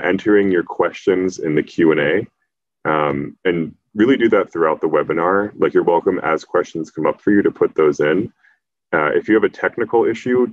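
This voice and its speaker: Very deep-voiced man moderating a webinar. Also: no echo, highs cut off. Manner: measured, filler-heavy moderating. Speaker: panel moderator. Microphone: video call.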